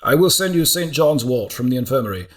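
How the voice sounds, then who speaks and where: A man voicing a calm, decisive older monastic mentor, firm and instructive, in an audiobook. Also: medium pitch, brisk, voice pushed up, lightly acted. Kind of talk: audiobook character acting.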